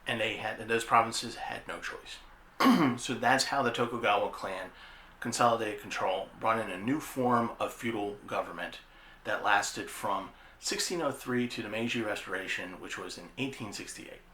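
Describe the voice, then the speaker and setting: A man's voice: fairly low pitch, moderate pace, pushed and bright. A man hosting an informal history explainer, talking conversationally and repeating phrases for emphasis. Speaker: host of an anime history show. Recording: roomy echo with faint background noise.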